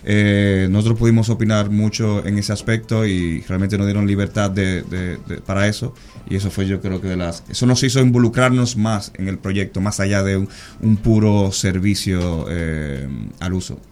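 A man answering interview questions about animation work, measured and restarting often. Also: fairly low pitch, steady tone, a little mellow. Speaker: animation studio guest.

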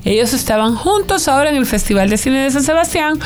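A woman's voice: high voice, animated, quick pace. A woman presenting film news in a chatty, conversational way, adding jokey asides. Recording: music bed underneath.

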